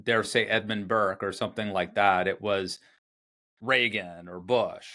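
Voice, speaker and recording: A man's voice: lowish voice, fairly even intonation, measured pace, sharp tone. An American man hosting a podcast, chatty and jumping in with questions. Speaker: podcast host. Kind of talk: casual conversation.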